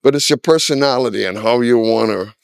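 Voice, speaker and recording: An older man in his sixties, originally from Trinidad and Tobago, talks frankly in a street interview and leans on "you know" as he goes. His voice is smooth and resonant at a middle pitch. The sound is dry and close. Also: a little fast, no room sound. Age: 60s. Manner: candid, opinionated, with frequent "you know" fillers.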